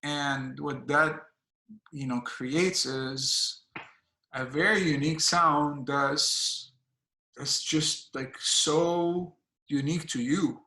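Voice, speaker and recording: A man's voice: on the high side, steady tone, slow pace, sharp tone, echoey room, low-quality recording. A man hosting a casual music interview, asking questions hesitantly with many fillers and encouraging his guest. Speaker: radio and blog host.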